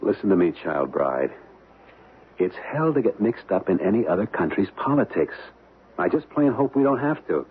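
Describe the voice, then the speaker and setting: An actor playing a wary, easygoing young American husband in a radio drama, speaking in slangy, hesitant phrases. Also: male voice, fairly low pitch, very animated, moderate pace, husky. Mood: cautious, resisting. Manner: slangy, hesitant, repeating words. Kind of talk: old-time radio drama acting. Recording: tinny, band-limited vintage radio, hissy.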